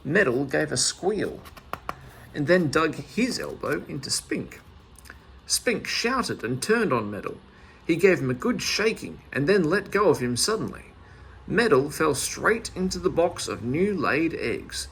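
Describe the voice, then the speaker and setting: A man narrating a children's story aloud in an expressive storyteller's manner. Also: a little high, a little slow, vocal fry, breathy edge, poor audio.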